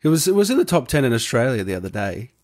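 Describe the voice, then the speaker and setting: A man's voice: medium pitch, very animated, very fast, a little mellow. A man hosting an interview in a casual, easygoing way. He asks loose questions and reads out questions from viewers. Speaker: interview host.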